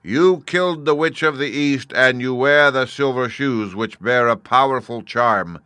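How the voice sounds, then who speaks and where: A huge, disembodied head speaks from a throne in a storybook, stern and commanding, each word drawn out and thundering. A man acts it out, his voice bright and forceful and close to a dry mic. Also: smooth, dry recording. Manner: slow, commanding, booming. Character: booming disembodied giant head, stern ruler.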